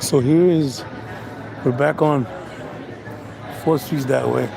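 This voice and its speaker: Gravelly, hoarse man vlogging on a street. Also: medium pitch, fairly even intonation, takes it slowly, background noise. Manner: rambling, laid-back, chatty tour commentary. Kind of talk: casual walking vlog. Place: outdoors on a busy town street. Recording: outdoor street recording with music. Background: music from restaurants.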